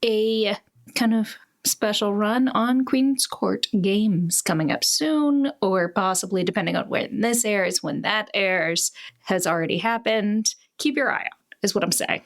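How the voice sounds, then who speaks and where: A woman's voice: medium pitch, a little slow. A woman chatting casually as a player in a tabletop roleplaying game show.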